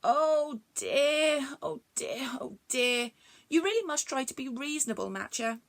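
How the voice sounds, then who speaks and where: A woman's voice: high voice, sing-song, fast, gravelly, no room sound, voice pushed up, heavily acted. A woman voicing a fretful, fluffy little male magic creature in a storybook, speaking in an anxious, pleading way. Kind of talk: storybook read-aloud.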